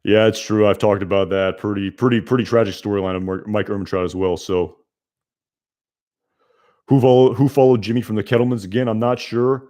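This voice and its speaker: A young man hosting a casual live stream, reviewing a TV episode and answering chat, with a loud voice and a rambling way of talking full of fillers and restarts. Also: lowish voice, fairly even intonation, a little fast.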